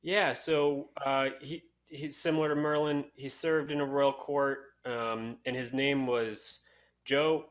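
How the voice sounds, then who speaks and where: A man hosting a casual podcast, telling stories in a halting, jokey way. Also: mid-range voice, steady tone. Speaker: podcast host.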